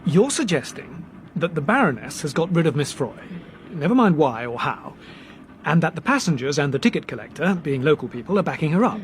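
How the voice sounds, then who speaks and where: An actor playing a brisk young Englishman who reasons deliberately and articulately, in a British radio drama. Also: a little high, big pitch swings. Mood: reasoning, brisk. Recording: noisy train background ambience.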